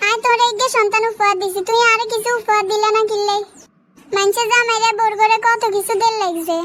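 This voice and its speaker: A woman's voice: very high-pitched, steady tone, brisk, fairly bright tone, a little hiss or hum. A woman voicing a gullible, demanding young cartoon wife with a Noakhali Bangladeshi accent and an insistent, nagging manner. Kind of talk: comedy cartoon dialogue.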